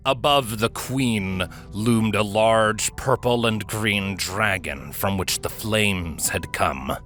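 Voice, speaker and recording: Guy reading a story dramatically, crisp forceful lowish voice, bit of background music. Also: slow. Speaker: story narrator. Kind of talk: dramatic scripted fiction narration.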